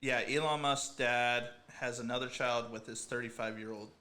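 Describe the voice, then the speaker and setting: A man's voice: mid-range voice, fairly even intonation, normal speed, pushed and bright. A man co-hosting a podcast, chatting casually with wry, teasing asides. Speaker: podcast co-host. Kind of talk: casual chat.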